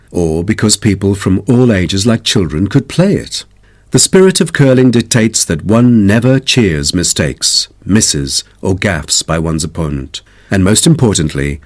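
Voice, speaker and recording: Low-voiced man lecturing with varied intonation. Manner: formal, instructive. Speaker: listening test lecturer. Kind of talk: scripted educational narration.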